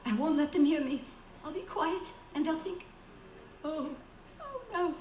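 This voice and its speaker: A woman's voice: sits high, sing-song, unhurried, darkish tone. A woman playing a fretful, demanding invalid who is alone and frightened, repeating herself breathlessly in a radio suspense play. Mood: frightened, suspicious. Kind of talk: radio suspense drama acting. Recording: roomy echo with faint background noise.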